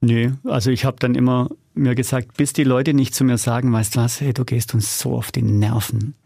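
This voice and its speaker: A man with a noticeable creak in his mid-pitched voice answers as an interview guest. He tells things in a conversational, storytelling way, often quoting dialogue. The sound is dry and close. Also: fairly even intonation, moderate pace, mellow, no room sound. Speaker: podcast guest, audio drama producer.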